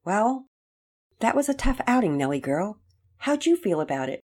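A woman voicing a calm, firm storybook father who gently admonishes in a measured, plain-spoken way. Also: mid-range voice, husky, voice pushed up. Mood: calm, frank.

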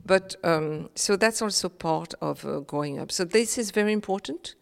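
A woman with a low, gravelly voice, a Creole speaker from Réunion. She talks earnestly, pausing on fillers and restarting her sentences. Her voice rings with a long reverb. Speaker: guest. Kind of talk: reflective conversational interview.

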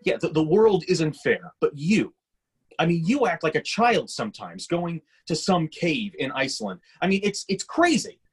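A man's voice: fairly high pitch, sing-song, normal speed, husky, heavily acted. A man playing a resentful, practical grown son in a screenplay reading, blunt and conversational. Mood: exasperated. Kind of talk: screenplay table-read acting.

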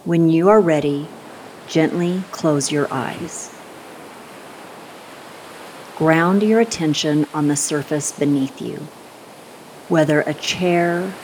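A woman with a low-pitched voice leads a guided meditation. She takes her time, speaking slowly and soothingly with gentle instructions and long pauses. A faint rumbling hiss sits behind her voice. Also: low-quality recording.

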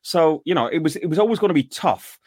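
A man's voice: on the high side, animated, quick pace, a little mellow, dry recording, clean studio sound. An Irish man hosting a casual fan chat show, talking in a rambling way with many restarts. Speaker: podcast host.

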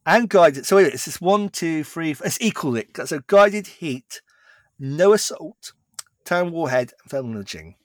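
A man's voice: on the high side, lively intonation, normal speed, no echo. A man co-hosting a podcast, casually explaining tabletop wargame rules in long runs with frequent restarts. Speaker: podcast co-host.

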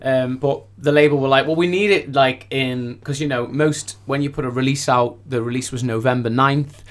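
A man's voice: medium pitch, steady tone, moderate pace. A man speaking as a singer-songwriter guest in a casual interview, effusive and rambling, often repeating his phrases.

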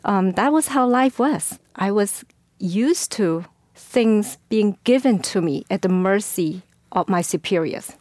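A woman's voice: medium pitch, animated, measured pace, darkish tone, dry recording. A woman from China, a guest in an interview, telling her story hesitantly with fillers and self-corrections. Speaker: guest, former school board candidate.

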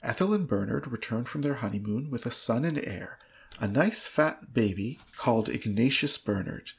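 A woman's voice: a bass voice, lively intonation, normal speed, gravelly, a little hiss or hum. A woman co-hosting a podcast, reading a story dramatically and chatting casually with wry amusement. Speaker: podcast co-host.

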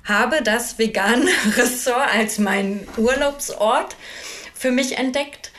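A woman's voice: fairly high pitch, slow, a bit bright. A woman in her early forties from northeastern Germany, a life and business coach, chatting cheerfully as a podcast guest. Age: 40s. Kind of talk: casual conversational podcast.

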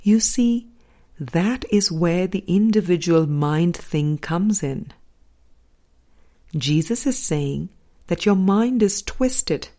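Woman narrating a spiritual audiobook, really slow and soft-edged mellow tone, careful pauses. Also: on the low side, lively intonation. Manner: measured, deliberate phrasing with pauses between short phrases. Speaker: audiobook reader.

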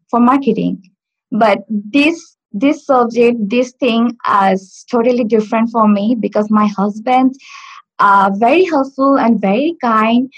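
A woman from Bangladesh whose first language is Bangla, talking in a halting way with many fillers and restarts as a learner on a video call. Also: fairly high pitch, muffled recording. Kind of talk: casual video-call interview. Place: home in Stockport.

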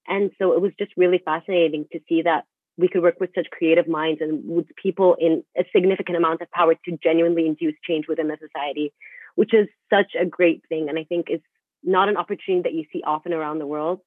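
A young woman talks very quickly and enthusiastically as a student podcast guest. The sound is thin and phone-like, and she chats casually. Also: deep voice, no echo. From Middle East. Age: young adult.

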